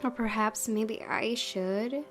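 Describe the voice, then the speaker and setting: A woman's voice: on the high side, slow pace. A woman hosting a let's play, commenting casually in a chatty, giggly, rambling way. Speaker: gaming video host. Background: soft game music.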